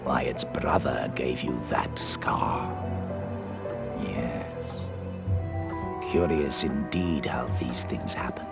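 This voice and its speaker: An actor playing an eccentric, mysterious old British wizard wandmaker in a video game, speaking in courtly, musing, portentous phrases. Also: male voice, very deep voice, animated, slow pace, gravelly, pauses often, full character voice. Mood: mysterious, ominous. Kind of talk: theatrical video game voice acting. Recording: game music behind the voice. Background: music.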